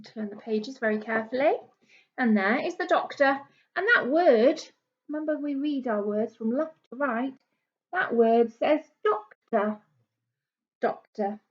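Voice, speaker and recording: A woman with a fairly high voice reads a picture book to young children as a teacher would. She explains things in simple words and puts questions to her listeners. The recording is a little dull and lo-fi. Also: unhurried. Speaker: primary school teacher. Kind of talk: read-aloud storybook lesson for young children. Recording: dull lo-fi small camera recording.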